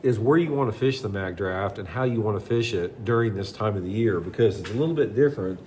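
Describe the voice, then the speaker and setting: Low-voiced guy with a stuffy nose, chatting through fishing tips, easygoing, faint hiss behind. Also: quick pace. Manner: relaxed, conversational, many fillers. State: stuffy from allergies. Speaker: bass fishing channel host. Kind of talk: casual tackle demonstration.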